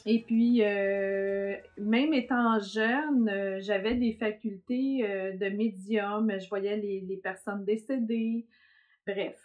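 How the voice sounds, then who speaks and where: A middle-aged woman with a Québécois French accent, telling her personal story as host in a hesitant way full of fillers. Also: fairly high pitch. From Quebec, south shore of Montreal. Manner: hesitant, many fillers, laughs often. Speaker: podcast host, therapist.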